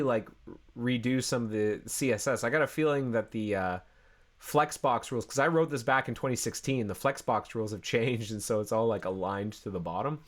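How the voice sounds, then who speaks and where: A man's voice: medium pitch, fairly bright tone. A man hosting a coding livestream in a casual, rambling way, sounding congested from a cold, recorded from his home office. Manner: rambling, self-interrupting, easygoing. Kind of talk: casual live-stream chat.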